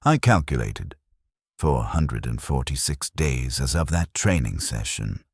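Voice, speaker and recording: Man, extremely low voice, lively pitch movement, measured and detached war story narration. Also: husky, no room sound, studio quality. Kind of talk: scripted first-person war story narration.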